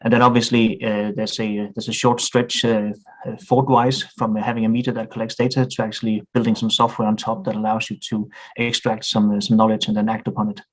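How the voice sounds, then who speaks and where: A man's voice: lowish voice, little pitch movement, brisk, low-quality recording. A middle-aged man from Denmark with a Danish accent, a guest on a podcast, speaking thoughtfully with frequent hesitations and restarts. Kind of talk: podcast interview.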